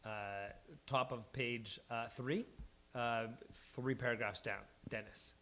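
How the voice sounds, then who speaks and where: A man's voice: medium pitch, fairly even intonation, takes it slowly, bright, forceful tone. A man hosting a live table read and narrating the stage directions. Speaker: host and stage-direction reader. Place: live venue before a studio audience. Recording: faint background noise, live event.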